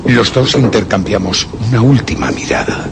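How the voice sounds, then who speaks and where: A man with a Castilian accent playing a haunted, obsessive gentleman who narrates a horror radio play in a grave, suspenseful, theatrical way. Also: on the low side, lively intonation, moderate pace, gravelly, heavy background noise. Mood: fearful, tense. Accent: Castilian Spanish. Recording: dramatic music behind the voice.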